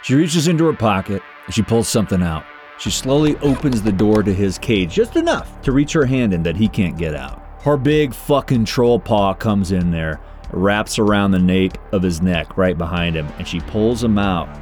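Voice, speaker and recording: A man's voice: fairly low pitch, sing-song, normal speed, darkish tone, faint music behind. A man playing a tabletop game, casually improvising narration of his character's actions in the third person.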